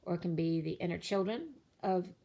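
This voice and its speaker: Woman tarot reader with a low-set voice, incantatory delivery, slightly worn voice. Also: a little fast, gravelly, mellow. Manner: flowing, incantatory listing of cards and numbers. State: losing her voice. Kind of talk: spoken tarot reading.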